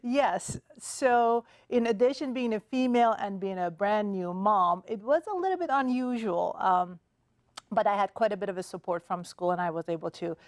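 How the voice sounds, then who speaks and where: A middle-aged woman from Iran speaks as a guest in a television studio interview. Her pace is measured and she fills gaps with "uh" and "um". The sound is close and dry, with no room around it. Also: medium pitch, darkish tone, no room sound. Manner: measured, many "uh" and "um" pauses. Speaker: podiatrist guest. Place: TV studio set.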